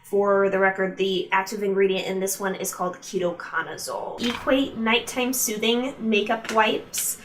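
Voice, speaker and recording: Woman doing a casual beauty review vlog, chatty and informal, normal pitch, sounds slightly distant from mic. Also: steady tone, moderate pace, hardly any pauses, slight background noise. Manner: chatty, informal, addressing viewers directly. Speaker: beauty vlogger. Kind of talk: casual product review chat to camera. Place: room at home used for filming.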